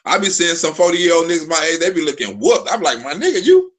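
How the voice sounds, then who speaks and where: A man's voice: a little high, big pitch swings, quick pace. A man in his forties hosting a live stream, telling loose, profane stories full of restarts. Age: 40s. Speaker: live show host. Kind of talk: casual live-stream chat.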